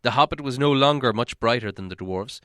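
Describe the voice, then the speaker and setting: A man's voice: mid-range voice, brisk, a bit bright, no room sound, studio quality. A man reading a story aloud as a dramatic audiobook narrator.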